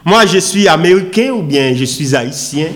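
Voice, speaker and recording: A man preaching a sermon in an oratorical, emphatic manner, with deliberate pauses and repeated phrases. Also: on the high side, expressive, a bit bright. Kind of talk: sermon with scripture readings and rhetorical questions.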